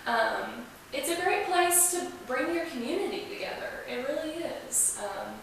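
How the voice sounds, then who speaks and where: A woman's voice: high-pitched, animated, moderate pace, creaky. A woman speaking casually as an interview guest, enthusiastic and emphatic about what she describes. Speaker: interview guest, museum representative. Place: indoors in an old museum building. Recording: very echoey room, distant mic, hiss.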